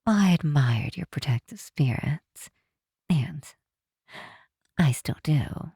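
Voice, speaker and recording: A woman playing a possessive supervillain captor in an intimate ASMR roleplay, speaking in a measured, softly menacing yet reassuring way. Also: deep voice, lively intonation, husky, no echo, studio quality, heavily acted. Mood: tender, pained. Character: possessive female supervillain captor, coaxing and protective. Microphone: close microphone in a dry space.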